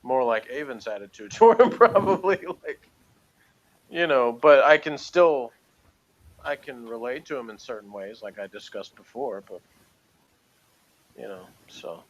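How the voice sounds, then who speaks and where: A man's voice: medium pitch, expressive, measured pace, long pauses, highs cut off. Hesitant, meandering man in casual chat. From outside Britain. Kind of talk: casual unscripted TV review chat.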